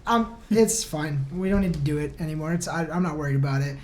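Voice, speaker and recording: A man's voice: a little high, fast talker. A man, an interview guest, telling stories in casual, emphatic chat with plenty of fillers. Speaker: band vocalist, interview guest.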